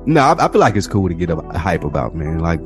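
A man's voice: deep voice, very animated, fast, soft background music. An American man co-hosting a podcast in casual, informal conversation. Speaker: podcast co-host.

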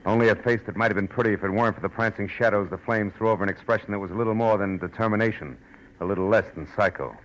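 An American actor playing a wry, world-weary, wisecracking private detective in a 1940s radio drama. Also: male voice, fairly low pitch, racing, lightly acted, low-quality recording. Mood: tense narration. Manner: wisecracking, sardonic. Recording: old radio broadcast with music.

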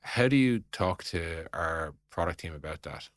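Really deep voiced guy hosting a business podcast, casual, thinks out loud, no room echo. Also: animated, moderate pace, clean studio sound. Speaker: podcast co-host leading the questions.

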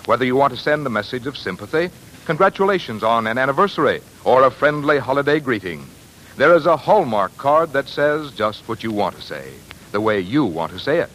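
A man's voice: mid-range voice, sing-song, fairly quick, a bit bright, poor audio. An American man reading as a polished, earnest radio announcer in scripted 1940s commercial style. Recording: 1940s radio broadcast, faint noise.

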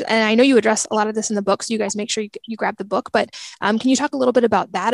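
A woman hosting a podcast, asking interview questions in a friendly, measured way. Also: mid-range voice, vocal fry, dry recording. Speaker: podcast host.

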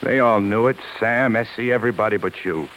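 An American actor playing a guilt-ridden, proud husband and struggling performer in a 1950s radio drama, speaking earnestly and hesitantly. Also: male voice, on the low side, fairly quick, fairly bright tone, dull audio, close to an everyday voice. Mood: remorseful confession. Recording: hissy 1950s radio broadcast recording.

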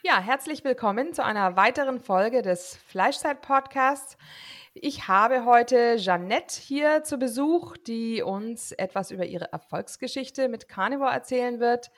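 Woman podcast host, fairly high voice, intro and chatting with a guest. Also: animated, a little slow.

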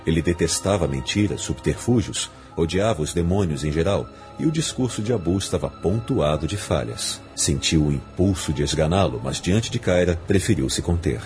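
Deep-voiced man narrating dark fantasy. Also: animated, moderate pace, few pauses. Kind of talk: scripted dramatic audiobook narration of fantasy fiction. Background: music.

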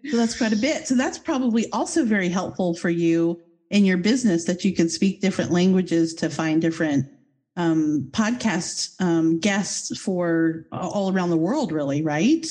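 A woman's voice: lowish voice, lively intonation, moderate pace, dark tone. A woman hosting a podcast interview, effusive and a little goofy. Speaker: podcast host.

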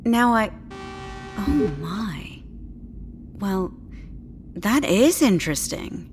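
An actress playing a polite, self-assured clinic doctor with a reassuring, professional manner in a science-fiction audio drama. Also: female voice, mid-range voice, sing-song, drawn-out words, a little hiss or hum. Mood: astonished.

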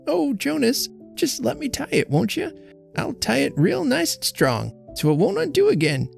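Man voicing a high, fretful old country wife. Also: wildly swooping pitch, normal speed, gravelly, faint music behind, exaggerated voice. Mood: pleading. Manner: plaintive, fluttering, folksy. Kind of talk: audiobook character voice.

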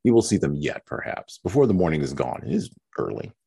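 A man reading a novel aloud, voicing a patient, sensible young woman who answers calmly and soothingly. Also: a bass voice, brisk, vocal fry, breathy edge, full character voice. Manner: calm, soothing.

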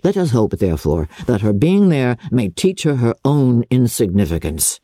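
A woman voicing a calm, wry, affectionate older gentleman father, measured and dryly reassuring, in a classic novel reading. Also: a bass voice, no echo, clean studio sound, heavily acted. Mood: wry reassurance.

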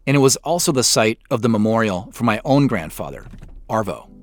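Middle-aged man, American accent, scripted behind-the-scenes commentary, measured, explanatory, varied melodic pitch. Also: lively intonation. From US Pacific Northwest. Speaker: audio drama creator and host.

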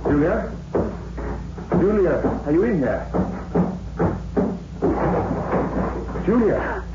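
An actor playing a stubborn, anxious young suitor in an old-time radio horror drama, insistent, agitated and melodramatic. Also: male voice, sits high, very animated, takes it slowly, over loud music, exaggerated voice. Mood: anxious, concerned. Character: stubborn, anxious fiancé determined to find his bride. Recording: noisy, dull old radio recording.

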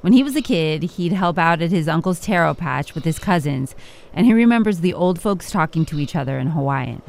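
A woman with a slightly low voice narrates a radio news feature. Her delivery is measured, clear and scripted, the steady pacing of a reporter telling a story.

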